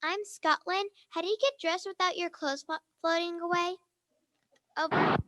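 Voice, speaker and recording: A woman's voice: very high-pitched, fairly even intonation, normal speed, pushed and bright. A female student asking prepared questions during a radio contact. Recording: very noisy radio contact audio, clicks.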